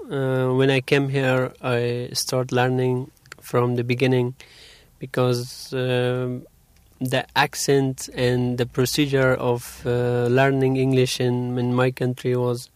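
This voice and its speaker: A young man from Afghanistan, answering interview questions about his English in a halting, hesitant way. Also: medium pitch, no room sound. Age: young adult. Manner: hesitant, halting, many pauses.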